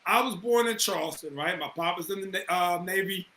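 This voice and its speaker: Animated high-voiced man in echoey room. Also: a little fast, slight background noise. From Atlanta. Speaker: co-host. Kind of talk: casual chat.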